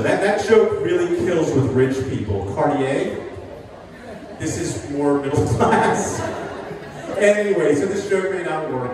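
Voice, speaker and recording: A man's voice: sits high, noisy background. An older man from New Jersey doing a stand-up set in a sing-songy voice that makes statements sound like questions. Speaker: stand-up comedian. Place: live venue with an audience. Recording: echoey hall recording from far off. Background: audience laughter.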